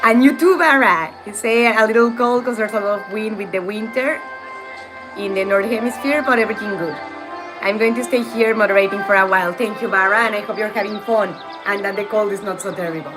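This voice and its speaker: A woman's voice: mid-range voice, brisk, poor audio. Chatty woman game streamer. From Mexico. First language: Spanish. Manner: chatty, greets viewers by name. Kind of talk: casual live game-stream chat. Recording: game music loud behind.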